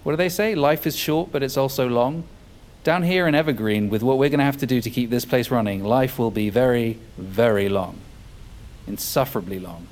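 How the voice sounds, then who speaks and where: A man's voice: medium pitch, brisk, a little mellow, natural voice. A man playing a cynical, needling mechanic in a naturalistic audio drama, with a bitter, sardonic delivery. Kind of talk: naturalistic fiction podcast acting.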